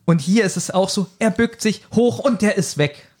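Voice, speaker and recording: A man's voice: high voice, moderate pace, a little mellow, breathy edge. A man talking casually as a podcast co-host, telling things in a loose, colloquial way. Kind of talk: casual chat.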